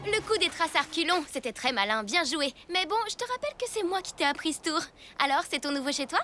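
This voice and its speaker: A woman's voice: sits high, expressive, fast, pushed and bright, faint music behind. A woman voicing a clever, confident young girl in a cartoon, self-assured and a little smug. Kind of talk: French cartoon voice acting.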